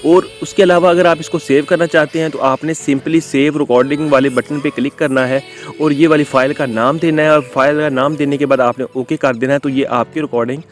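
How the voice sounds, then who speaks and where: A man's voice: fairly high pitch, fairly even intonation, a little fast, faint music behind. A man presenting a casual how-to tutorial, often addressing his viewers as friends. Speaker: host of a tech tutorial channel.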